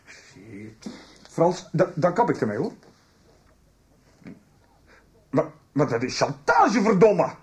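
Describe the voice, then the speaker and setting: A rattled guy on the phone in a radio soap, highish voice, swings a lot, halting. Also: very animated, darkish tone, faint music behind. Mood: alarmed, indignant. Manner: halting, agitated, one-sided phone talk. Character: nervous, cornered man in a shady phone conversation. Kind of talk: Dutch radio soap acting.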